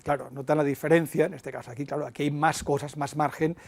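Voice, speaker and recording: A man's voice: on the high side, level tone, quick pace, vocal fry, a little mellow. Chatty man interviewing a poet. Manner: chatty, agreeing often. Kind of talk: conversational interview.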